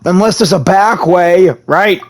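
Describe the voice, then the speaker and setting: Casual gamer reading lines aloud. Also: male voice, sits high, a little slow, slight background noise. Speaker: gaming content creator. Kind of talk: reading dialogue options aloud during a playthrough.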